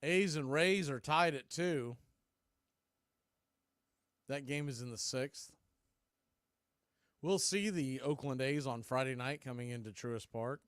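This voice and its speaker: A man's voice: slow pace, long pauses, a bit bright, clean tone, no echo. Dry, close-miked male baseball announcer. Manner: clipped short phrases between pitches. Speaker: play-by-play baseball announcer and stream host. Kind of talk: live radio-style play-by-play commentary.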